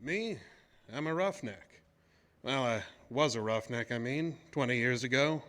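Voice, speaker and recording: An actor playing a chatty, folksy retired oil roughneck in an old-time radio monologue, talking in clipped asides. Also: male voice, medium pitch, expressive, unhurried, a bit bright. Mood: folksy, reminiscing. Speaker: audio drama actor.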